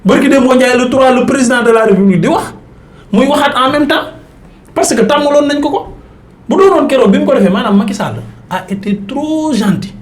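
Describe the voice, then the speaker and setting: A man's voice: extremely high pitch, lively intonation, brisk, reverberant. A Senegalese man speaking as a political commentator in an emphatic, insistent debating style. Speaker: political commentator on a talk show. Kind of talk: argumentative political debate, mixing Wolof and French.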